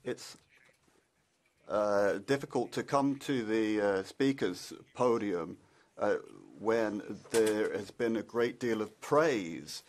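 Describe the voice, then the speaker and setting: A man simultaneously interpreting a parliamentary speech, halting with frequent fillers and then pressing on in quick bursts. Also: fairly low pitch, a little mellow.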